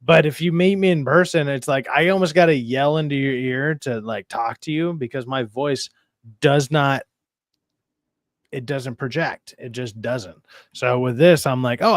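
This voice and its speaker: A man with a low voice that does not carry, chatting casually and profanely on a live stream. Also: dry recording, clean studio sound. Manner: profane, rambling with restarts. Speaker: streamer co-host.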